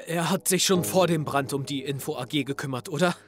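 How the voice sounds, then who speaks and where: A young man acts as a sixteen-year-old schoolboy in a radio play, defiant and sardonic. He talks in blunt youth slang, distrustful of everyone, with music playing clearly behind him. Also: a little high, a little fast, natural voice. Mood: probing. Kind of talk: audio drama acting.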